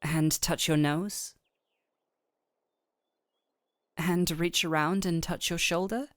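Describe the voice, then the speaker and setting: A woman playing a gentle, teasing doctor in an intimate fantasy audio roleplay, speaking softly and affectionately, close to the microphone. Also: lowish voice, steady tone, measured pace, no echo, natural voice. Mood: focused, caring. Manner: soft, breathy near-whispers, affectionate teasing.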